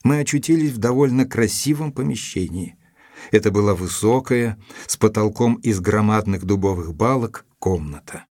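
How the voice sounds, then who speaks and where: An actor playing a gentleman narrator of a mystery tale, measured and expressive, in a literary audio drama. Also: lowish voice, dry recording, close to an everyday voice. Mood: sombre, atmospheric. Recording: dry studio with music underneath.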